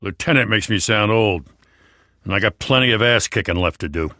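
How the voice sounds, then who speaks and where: A man's voice: on the low side, a little fast, sharp tone, dry recording, studio quality. A man with an American accent voicing a weary, principled police lieutenant in a video game, plain-spoken and earnest. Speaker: voice actor. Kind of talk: video game dramatic dialogue.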